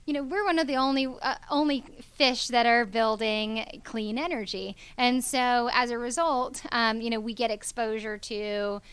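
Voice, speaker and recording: A woman's voice: a little high, steady tone, moderate pace, hardly any pauses, fairly bright tone, smooth, no room sound. A young woman from Georgia in the US, a company founder speaking earnestly as an interview guest, with many ums and you knows. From Georgia, USA. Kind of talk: business interview.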